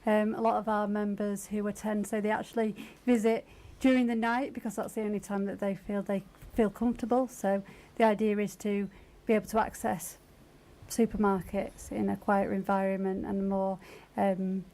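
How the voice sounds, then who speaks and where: Female interview guest, soft dark tone, flat intonation, tentative, conversational. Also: mid-range voice, normal speed. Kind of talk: conversational radio interview answers.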